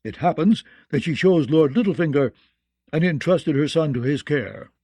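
A man's voice: on the high side, lively intonation, moderate pace, warm tone, slightly rough, no room sound. A man voicing an earnest, outspoken lord who speaks formally and emphatically in a fantasy audiobook. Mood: emphatic. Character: loud, earnest lord defending a rival's claim. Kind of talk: fantasy audiobook character acting.

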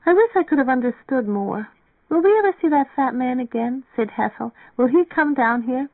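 A tired young woman in an audiobook, as a narrator acts her out, pitched up into a girlish, plaintive register. She murmurs wearily and restlessly through a narrow, radio-like band with very little room sound. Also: expressive, moderate pace, no echo. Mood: sleepy, wistful. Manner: weary, murmuring. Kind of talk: audiobook character dialogue.

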